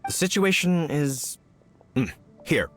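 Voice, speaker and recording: A man playing a polite, earnest and dutiful knight in a video game, speaking in a formal, measured way. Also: fairly high pitch, soft background music. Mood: courteous.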